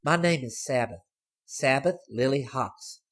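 A man's voice: medium pitch, unhurried, pitched above the performer's own voice, close to an everyday voice. A man voicing a brash, teasing teenage girl in an audiobook, speaking bluntly and cockily. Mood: brazen. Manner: blunt, artless, cocky. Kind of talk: audiobook character voice.

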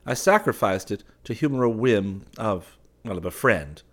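A man's voice: lowish voice, animated, normal speed, fairly bright tone. A man voicing an easygoing young male art student, breezy and nonchalant.

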